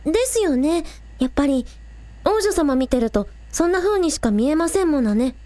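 Woman voicing an earnest high-pitched teenage idol girl. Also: lively intonation, moderate pace, mellow, no room sound. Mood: bright, curious. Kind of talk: Japanese audio drama acting.

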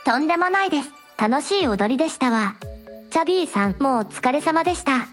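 女性が演じる高い声の丁寧な若い王女. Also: female voice, high voice, animated, fairly quick, faint music behind. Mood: delighted. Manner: very polite, formal honorific speech. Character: polite, supportive young princess hosting a livestream. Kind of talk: radio drama dialogue.

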